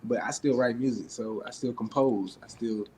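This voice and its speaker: A man's voice: mid-range voice, fairly even intonation, normal speed, darkish tone, slight background noise, poor audio. A young man from Atlanta, a rapper talking casually in an interview and projecting his voice. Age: young adult. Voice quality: raspy. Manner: projecting his voice, laid-back with frequent fillers. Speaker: rapper guest.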